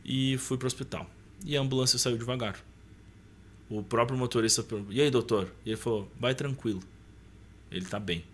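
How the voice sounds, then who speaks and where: A man's voice: medium pitch, brisk, a little hiss or hum. A man with a southern Brazilian accent casually telling a personal story as a podcast guest, acting out dialogue as he goes.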